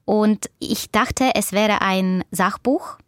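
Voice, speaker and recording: Brief: woman from Ukraine, Russian-native Ukrainian accent, thoughtful, sometimes hunting for words; mid pitch, dry close mic. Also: slow pace, clear, resonant tone, no room sound. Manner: reflective, searching for words. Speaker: interview guest, author and doctor. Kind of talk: conversational interview answers.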